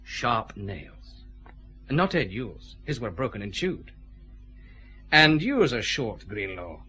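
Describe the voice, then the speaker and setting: An actor with a Scottish accent playing a shrewd, methodical detective chief inspector who lays out his accusations deliberately in a radio drama. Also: medium pitch, sing-song, sharp tone, slight background noise, exaggerated voice. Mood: stern, triumphant. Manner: measured, accusing, deliberate interrogation.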